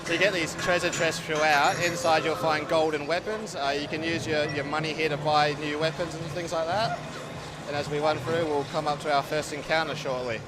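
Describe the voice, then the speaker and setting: Easygoing guy showing off a game, voice a bit high, really loud expo noise behind him. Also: fairly even intonation, a little fast. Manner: informal, easygoing. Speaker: game demonstrator. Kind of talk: casual live game demo commentary. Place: busy game expo show floor. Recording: loud noisy expo floor background.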